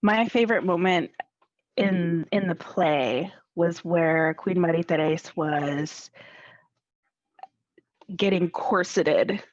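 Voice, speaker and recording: A woman's voice: on the low side, slow, long pauses, dry recording, highs cut off. A woman actor telling casual anecdotes in an interview, hesitating as she searches for words.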